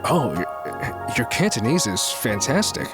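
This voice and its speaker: A man's voice: medium pitch, sing-song, unhurried, over music. A man playing a boastful, wealthy suitor in an audio drama, self-important and out to impress his date. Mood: flustered, smitten.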